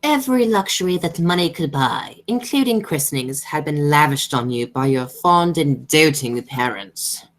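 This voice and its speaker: A haughty older society lady, acted by a woman, with pitch that rises and dips widely. She is imperious, dry and formal, as in an amateur radio play of a period comedy. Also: animated, moderate pace, few pauses. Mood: stately, dry. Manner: pompous, formal.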